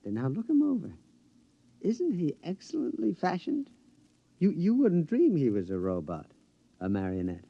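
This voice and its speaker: An actor playing a middle-aged henpecked husband, excitable and stammering with giggles, in a 1950s radio drama. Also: male voice, a little high, moderate pace, vocal fry, husky, dull audio, full character voice. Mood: pleased.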